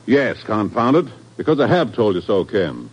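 A man's voice: lowish voice, sing-song, moderate pace, husky. A man playing an exasperated, scolding agency chief in a 1950s radio drama. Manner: emphatic, scolding. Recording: dull old radio recording.

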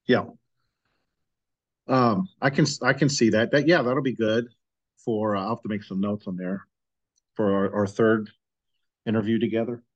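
Male podcast host, low-pitched, dry, earnest, halting delivery. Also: fairly even intonation, no room sound, lo-fi sound. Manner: halting, earnest, with frequent fillers. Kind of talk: casual conversational interview.

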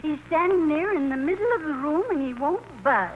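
A woman's voice: sits high, animated, brisk. An actress playing a fretful, flustered older wife and doting mother in a 1940s radio play, anxious and coaxing. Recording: muffled, noisy old radio broadcast.

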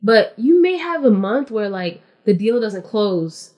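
A woman's voice: medium pitch, very animated, a little slow, a little mellow. A woman from California, a podcast guest in casual interview chat, explaining things matter-of-factly and step by step with frequent "like".

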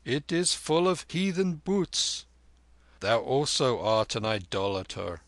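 A proud young know-it-all, acted by a man makes dismissive declarations. The boy's delivery is scornful and animated, in storybook style. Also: mid-range voice, slow, no echo, pitched above the performer's own voice, heavily acted.

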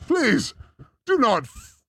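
Male comic host, theatrical and exclamatory, high-pitched, recorded very dry. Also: huge pitch swings, slow, pushed and bright, slightly rough, no room sound. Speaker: host of a livestream show. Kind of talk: rambling comic monologue in a demon persona.

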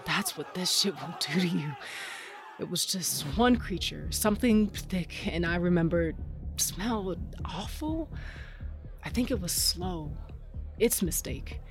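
A woman's voice: on the low side, expressive, unhurried, music in the background. An actress playing Tasha, a tough, rage-driven woman cage fighter, narrating in the first person in an audio drama with a brooding, dramatic delivery. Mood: brooding, intense.

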